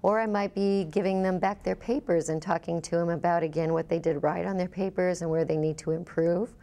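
Mellow lower-voiced woman instructor explaining. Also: steady tone, a little fast. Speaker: college instructor. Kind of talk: explanatory talk about a teaching program.

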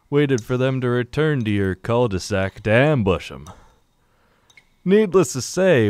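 A man's voice: lowish voice, a little mellow, no echo, voice pushed up. A man voicing a blunt, stern resistance fighter in an amateur radio drama, speaking emphatically. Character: blunt male resistance fighter, forceful and scolding.

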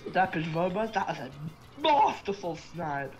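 A fellow player chatting during a shooter game, making slow, dry remarks about sniping. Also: medium pitch, sing-song, faint music behind. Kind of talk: casual gameplay chat.

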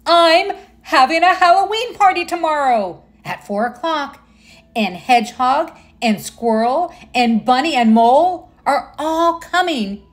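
A woman's voice: high voice, animated, unhurried, voice pushed up. A woman voicing a sweet young boy mouse from a storybook, eager and exclamatory. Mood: excited.

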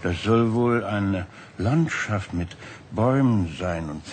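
A low-voiced, hungry tramp, cynical and forever complaining. It is mocking radio drama dialogue, with faint noise behind the voice. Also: male voice, expressive, slow, a little mellow. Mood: mocking, dismissive. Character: hungry male Parisian tramp, grumbling, cynical companion.

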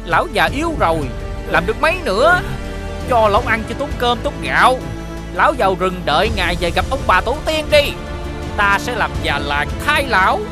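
Cartoon villain: greedy blustering traitor, high swooping voice, music underneath. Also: male voice, big pitch swings, moderate pace. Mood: menacing. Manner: blustering, drawling, stammering. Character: greedy, bullying middle-aged male village traitor, boastful and fawning. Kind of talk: exaggerated animated villain acting.

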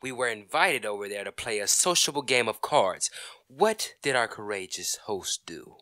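A man's voice: lowish voice, animated, normal speed, sharp tone, no room sound, studio quality. A man playing an indignant, stubborn middle-aged husband in an old-time radio comedy, blustering, sarcastic and emphatic. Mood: irritated, defensive.

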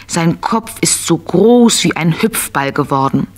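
A woman narrating a scripted children's tale in an expressive, simple storytelling manner. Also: fairly low pitch, unhurried.

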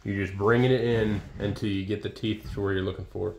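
A man's voice: fairly low pitch, steady tone, fairly quick, creaky, darkish tone, a little hiss or hum. A middle-aged man hosting a workshop video, speaking emphatically and addressing the viewers as folks. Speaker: video host. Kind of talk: enthusiastic host commentary. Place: wood-walled workshop, indoors.

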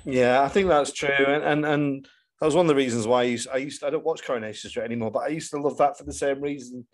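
Male podcast host, medium pitch, casual interview chat, hesitant phrasing. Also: fairly even intonation, fast talker.

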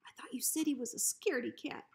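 A woman plays a timid little storybook dog, a sidekick who wriggles out of everything. His voice is high, small and often breathy. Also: fast talker, a little mellow, in a whisper, heavily acted. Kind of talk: children's storybook reading.

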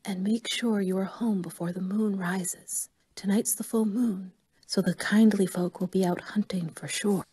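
A woman playing a kindly, worried older woman who believes in fairies, gentle and motherly in an audio drama. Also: medium pitch, fairly even intonation, measured pace, husky. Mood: worried, urging.

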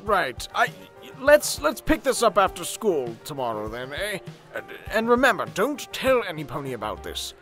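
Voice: medium pitch, huge pitch swings, normal speed, a bit bright, soft background music. An actor voicing a blustering young filly general in a cartoon parody, pompous like an old-fashioned officer. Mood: conspiratorial. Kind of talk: animated parody dubbing.

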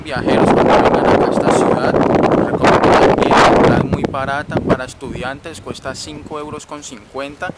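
A young man with a Colombian accent, vlogging his travels to camera in a chatty, enthusiastic way. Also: mid-range voice. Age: young adult. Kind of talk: casual travel vlog to camera. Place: outdoors at a stadium and a bus station. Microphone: phone or camera held at arm's length. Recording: outdoor city noise, traffic. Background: loud urban ambience and vehicles.

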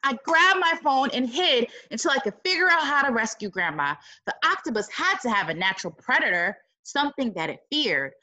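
A woman reading a picture book aloud to children like a teacher, dramatic and expressive, with exclaiming asides. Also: sits high, brisk, few pauses, rough recording.

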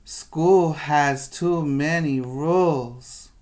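A man's voice: fairly high pitch, very slow, background noise. A man voicing a frustrated little kindergarten boy in a storybook, exclaiming and complaining.

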